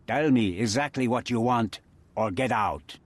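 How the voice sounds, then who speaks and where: A man's voice: medium pitch, dry recording, close to an everyday voice. Play it as a man voicing a menacing crime boss. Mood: impatient. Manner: cold, threatening, measured. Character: menacing male crime boss, smuggler and cutthroat. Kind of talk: video game cutscene dialogue.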